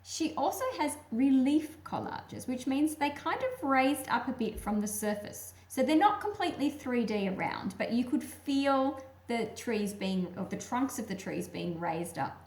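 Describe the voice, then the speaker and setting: Female children's read-aloud presenter, fairly high voice, addressing young listeners directly. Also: very animated, a little slow, hardly any pauses.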